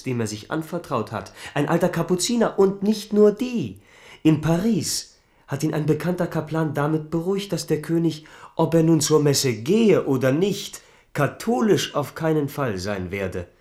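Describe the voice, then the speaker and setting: A man's voice: on the high side, very animated, normal speed, darkish tone. An actor playing a watchful, blunt servant of a king in a German radio drama. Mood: urgent reporting.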